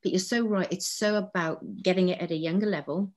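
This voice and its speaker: Enthusiastic low-voiced woman host. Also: fairly even intonation, brisk, darkish tone. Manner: enthusiastic, chatty, affirming. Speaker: interview host. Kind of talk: interview conversation.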